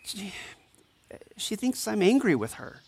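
A woman's voice: medium pitch, big pitch swings, unhurried, darkish tone, a little hiss or hum. A woman playing an empathetic, anxious mentor and counselor in a fiction podcast drama, sincere and self-doubting. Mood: distressed.

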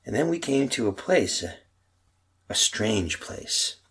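Man acting haunted family man, radio horror drama, bright strained husky tone, stammering, urgent repetition, disbelieving. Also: medium pitch, big pitch swings, slow pace, exaggerated voice. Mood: haunted, narrating. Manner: agitated, stammering, repeats himself urgently. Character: frantic, disbelieving husband and father lost in prehistoric past. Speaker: actor and narrator in a radio drama.

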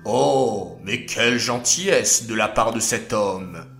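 A man voicing a kindly, gracious old father in a children's story, warm in manner. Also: lowish voice, slow, soft background music, pitched below the performer's own voice.

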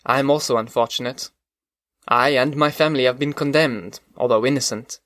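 Kindly blind old exile, gentle and earnest , read by a man, dry close sound. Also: mid-range voice, a little fast, no echo, lightly acted.

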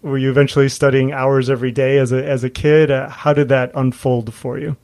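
A man's voice: medium pitch, flat delivery, a little fast, darkish tone. A man hosts an interview podcast. His voice sits in a medium register, and he speaks as the presenter who introduces and questions a guest. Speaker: podcast host.

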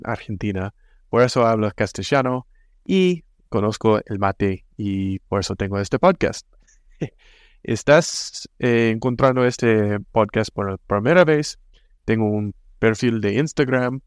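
A man's voice: on the low side, clear, resonant tone, no room sound, clean studio sound. A man from the United States with an American accent, a native English speaker hosting a casual podcast and speaking hesitantly, with frequent restarts and fillers. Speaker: podcast host.

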